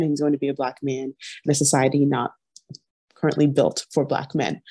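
Guest role: woman in her 30s, from Nigeria, low mellow voice, earnest and animated, video-call audio, dry sound. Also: gravelly, dry recording. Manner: earnest, frequent laughter, animated storytelling. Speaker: podcast guest. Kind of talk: candid interview conversation. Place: home room, indoors.

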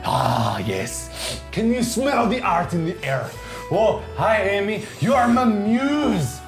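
A man's voice: high voice, sing-song, slow, creaky, soft background music, heavily acted. A man playing a pompous, theatrical French artist host, in a mock French accent with broken English. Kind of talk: comedic art show hosting.

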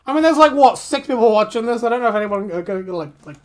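A woman's voice: fairly high pitch, expressive, very fast. A young woman chatting on a stream in a laid-back, grumbling way, swearing now and then. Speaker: streamer and artist. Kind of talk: casual stream chat.

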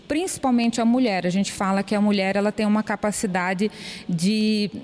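A woman answering an interview in a hesitant way, with pauses and restarts, inside an event hall. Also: mid-range voice, steady tone, a little mellow. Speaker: association president. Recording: reverberant hall with faint noise.

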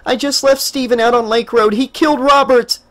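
A man's voice: lively intonation, unhurried, exaggerated voice. A man reading an audiobook, voicing a frantic, furious young woman in a raised, strained voice. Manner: high strained pleading and shouting.

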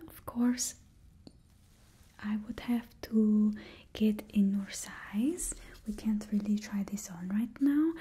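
A young woman voicing a supervillain's stylist, whispering slowly and gently with a put-on Russian accent in a small studio. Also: on the high side, heavily acted. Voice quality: breathy close whisper. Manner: slow, gentle, instructing a client. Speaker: ASMR roleplay performer. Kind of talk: whispered ASMR roleplay. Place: small indoor studio with a painted backdrop.

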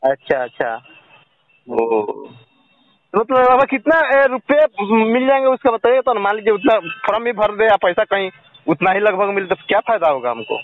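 A man's voice: sits high, very animated, normal speed, dry recording. A young man making a casual phone call as a caller, hesitant and full of questions. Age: young adult. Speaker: caller selling an old coin. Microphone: telephone. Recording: tinny, dry phone call recording.

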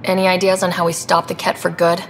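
A woman playing a young, calm and direct space explorer in video game dialogue. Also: lowish voice, quick pace, a little hiss or hum, close to an everyday voice. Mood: curious, earnest. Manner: straightforward, calm.

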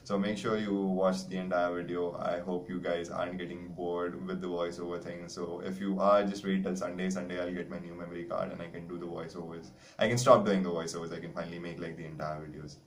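A man's voice: sits low, little pitch movement, fairly quick, hardly any pauses, a little hiss or hum. A man in his thirties giving a casual, rambling online lesson to students from a small room. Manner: rambling, chatty, self-mocking. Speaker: teacher.

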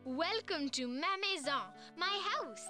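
A woman's voice: high-pitched, animated, takes it slowly. An actress playing a small, polite and eager schoolgirl in a children's educational game, with a careful, sing-song manner. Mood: cheerful, welcoming. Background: children's music.

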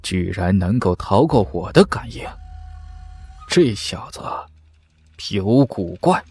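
A man's voice: sits low, very animated, takes it slowly, darkish tone, husky, full character voice. Man voicing a cold middle-aged martial arts master. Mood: suspicious. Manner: muttering to himself, haughty.

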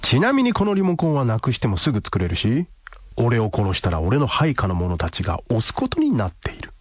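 An actor playing a ruthless, sardonic man in a radio drama, deadpan and commanding. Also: medium pitch, a little fast, no echo. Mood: coolly threatening. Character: cool, ruthless, sardonic man blackmailing a villain.

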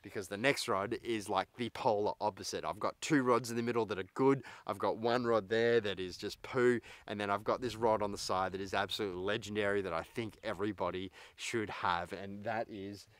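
A man's voice: fairly low pitch, fairly even intonation, a little fast, few pauses, no echo. A man in his thirties giving a casual, blunt and opinionated product review to camera as a vlog host. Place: outdoors on a parked boat in a grassy yard.

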